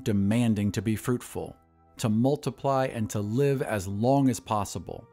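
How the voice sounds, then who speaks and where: A man narrating an audio drama in a measured, expressive storytelling voice, and hosting the show. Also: medium pitch. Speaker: narrator and producer.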